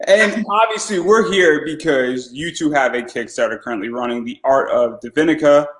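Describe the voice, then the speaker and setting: Host: eager male interviewer, echoey room, repeats himself when excited. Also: on the high side, moderate pace. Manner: enthusiastic, stumbles and repeats words. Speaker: podcast host. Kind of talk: casual interview. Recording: roomy echo.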